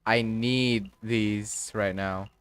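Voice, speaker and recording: A man live-streaming a game, thinking aloud in a casual, halting way with some mild swearing. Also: lowish voice, fairly even intonation, fairly bright tone, smooth.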